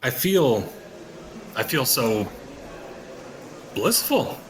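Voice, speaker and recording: A man's voice: medium pitch, sing-song, very slow, slight background noise. A man with an American accent playing a skeptical, sardonic ordinary husband in an audio drama, hesitant but curious, with wry colloquial delivery. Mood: dazed, blissful. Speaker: actor in an audio play. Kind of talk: audio drama acting in casual American talk.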